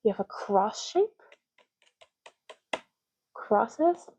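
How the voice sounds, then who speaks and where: A woman performs a gentle ASMR roleplay in a soft, breathy voice that edges toward a whisper. Her delivery is very slow and casual, lingering on words and repeating them. The tone is mellow and the recording is slightly dull. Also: a little high, big pitch swings, a little hiss or hum. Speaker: ASMR creator playing an ID card clerk in a roleplay.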